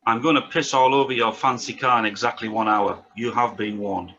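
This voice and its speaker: A man's voice: fairly low pitch, fairly even intonation, fairly quick, pushed and bright, muffled recording, slight background noise. A man playing a crude, sarcastic oil rig worker in a table read of a drama script, needling his mates. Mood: cheeky.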